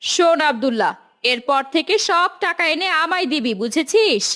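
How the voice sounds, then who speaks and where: A woman's voice: sits high, expressive, normal speed, fairly bright tone. A woman voicing a nagging, domineering aunt in a children's cartoon, bossy and scolding. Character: nagging, domineering aunt, sharp-tongued wife.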